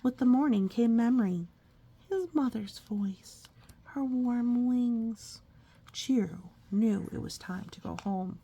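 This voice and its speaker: A woman's voice: a little high, slow, mellow, husky. A woman, a mother, reading a picture book aloud and chatting with her small child about it. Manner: asks and echoes a small child's questions. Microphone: phone recording.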